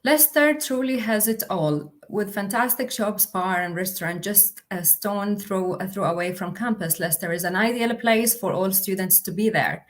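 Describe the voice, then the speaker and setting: A woman's voice: medium pitch, normal speed, few pauses. A young woman, a university officer, presenting a livestream with many fillers and restarts. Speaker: international officer.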